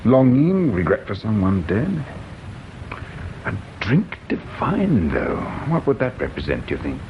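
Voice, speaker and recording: A shrewd middle-aged English inspector, low-pitched with widely swinging intonation. He is dry, patient and probing, musing his way through a radio play. The sound is slightly muffled and noisy. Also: wildly swooping pitch, exaggerated voice. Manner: measured, probing, dry, thoughtful. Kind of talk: radio drama acting.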